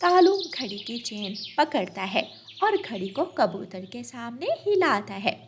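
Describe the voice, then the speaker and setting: A woman's voice: fairly high pitch, very animated, unhurried, slight background noise. A woman reading scripted narration for a children's story as its narrator.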